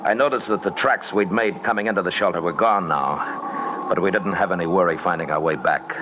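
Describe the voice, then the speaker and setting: An actor playing a calm, commanding expedition leader who narrates and acts in an old-time radio drama, measured and matter-of-fact. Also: male voice, low voice, muffled recording, close to an everyday voice. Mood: grave, narrating. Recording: very noisy old radio recording.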